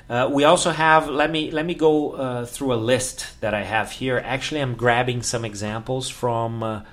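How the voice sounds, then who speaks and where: A man whose first language is Portuguese, hosting a solo podcast lesson on English, explaining at an unhurried pace with frequent fillers and carefully stretched example words. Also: fairly low pitch, fairly bright tone. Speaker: English teacher.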